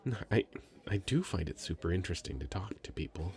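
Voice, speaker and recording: A man's voice: sits low, expressive, brisk, a little mellow. A man playing a shy, sweet, nervous young nerd in an intimate ASMR roleplay, stammering and rambling self-consciously. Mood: shy, earnest. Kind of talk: scripted ASMR roleplay, intimate conversational acting.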